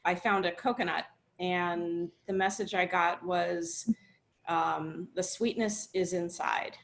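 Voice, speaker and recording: A woman on a video call sharing a reflection softly and hesitantly. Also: fairly low pitch, fairly even intonation, slow pace. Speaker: workshop participant.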